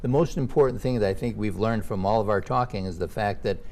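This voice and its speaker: Older male host, gravelly, conversational, steers a health chat with questions. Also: on the low side, fast, darkish tone. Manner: chatty, leading questions with personal asides. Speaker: show host. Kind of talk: casual health interview.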